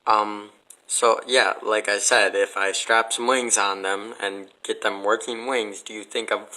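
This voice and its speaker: A man's voice: on the low side, level tone, a little slow, bright, forceful tone, poor audio. A man as a podcast guest in casual debate, speaking in a skeptical, blunt, sardonic manner. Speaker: podcast guest answering questions.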